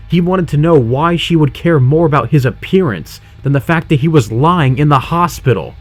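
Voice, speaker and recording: A man delivers dramatic horror narration as the storyteller of an audio drama. His voice is mid-pitched and dark, mellow in tone, with music playing faintly behind him.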